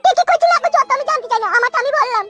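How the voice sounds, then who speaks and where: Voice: very high voice, animated, racing, loud music behind the voice. A performer voicing a tearful, resentful young woman forced to be a bride. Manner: reproachful, dramatic. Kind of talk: melodramatic comic cartoon dubbing.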